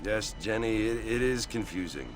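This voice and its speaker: A man's voice: fairly low pitch, lively intonation, normal speed, close to an everyday voice, low-quality recording. A man playing a middle-aged, pious and patronizing preacher in a western video game. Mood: sermonizing, paternal. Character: pious, condescending, paternal frontier preacher man.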